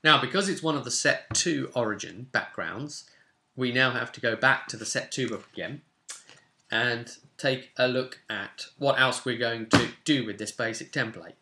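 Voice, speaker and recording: A man's voice: mid-range voice, normal speed, sharp tone. A man in his twenties chatting casually and thinking aloud as he explains a tabletop game to viewers. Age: 20s. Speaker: host of a tabletop roleplay channel. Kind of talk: casual explanatory chat while rolling a game character. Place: small home room, indoors. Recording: roomy echo, background hiss and clicks.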